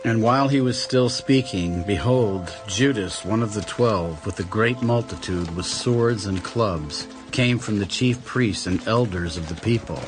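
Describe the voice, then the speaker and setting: Male scripture narrator, low-pitched, scripted dramatic reading, prominent music bed. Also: measured pace, few pauses.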